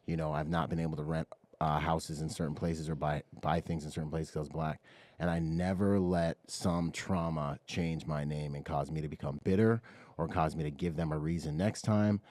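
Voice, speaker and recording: A man's voice: a bass voice, steady tone, moderate pace, darkish tone, no room sound. A man with an American accent telling personal stories casually as a podcast guest, in laid-back, slangy speech. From Bahamas and Washington State, US. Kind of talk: casual conversational storytelling.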